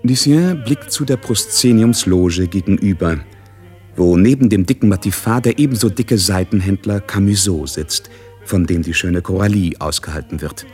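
An actor narrating a German radio play as a wryly observant storyteller, in scripted literary style. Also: male voice, low voice, moderate pace, darkish tone, soft background music, natural voice. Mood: observant, measured.

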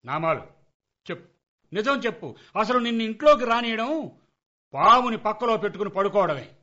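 A sharp, high-pitched, exasperated middle-aged dad played by a man in a radio comedy. He scolds with wry, theatrical sarcasm. The sound is slightly dull and lo-fi. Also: sing-song, brisk, heavily acted. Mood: accusing. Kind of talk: Telugu comedy radio play acting. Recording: dull lo-fi radio play recording.

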